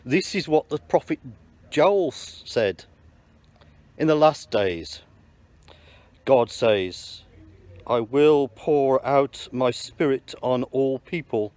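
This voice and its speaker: Man reading Peter, earnest proclaiming, slow, dry close sound. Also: medium pitch, darkish tone, dry recording, natural voice. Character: apostle Peter, adult man addressing a crowd. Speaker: Bible reader. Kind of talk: scripture reading.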